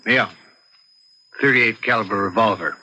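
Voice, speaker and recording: Sharp, lowish-voiced folksy sheriff. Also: male voice, normal speed. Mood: casual, probing. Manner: colloquial, offhand. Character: folksy, casually probing small-town sheriff. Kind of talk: old-time radio mystery acting. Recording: dull, slightly noisy radio-drama recording.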